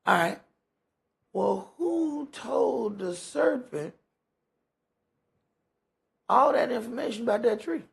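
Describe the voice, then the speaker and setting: A man with a gravelly, husky voice leads a Bible study in conversational sermon style, emphatic and folksy, often posing rhetorical questions. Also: very high voice, lively intonation, slow pace, pauses often, fairly bright tone. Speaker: preacher leading a Bible study. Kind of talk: conversational sermon with scripture commentary.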